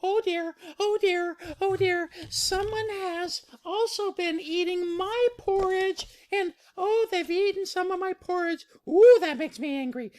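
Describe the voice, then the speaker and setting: A woman's voice: extremely high pitch, measured pace, few pauses, a little mellow, voice pushed up. A woman voicing a fussy, fretful mother bear in a children's story, fluttery and repeating herself. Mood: dismayed, cross. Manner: fluttery, repetitive, genteel fretting.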